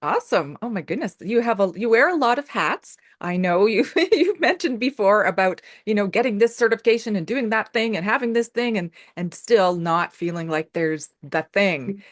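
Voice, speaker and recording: An effusive woman hosts a casual chat over a video call. Her pitch swoops widely and she laughs often. The sound is dry and close. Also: animated, moderate pace, no echo. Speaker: host.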